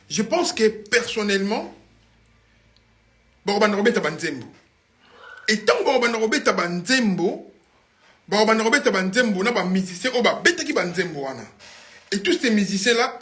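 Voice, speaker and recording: High-voiced Congolese man telling stories. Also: fairly bright tone. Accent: Congolese French. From Congo (Kinshasa). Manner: rambling, mixes French and Lingala, hums song snippets. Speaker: guest musician. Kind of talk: casual studio interview storytelling.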